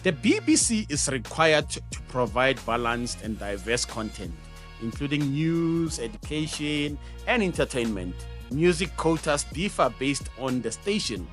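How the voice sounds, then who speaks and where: A man's voice: mid-range voice, very animated, measured pace, fairly bright tone, faint music behind. A South African man hosting an educational show, reading a scripted explainer in an emphatic presenter's manner with conversational asides. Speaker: host of an educational show on radio broadcasting.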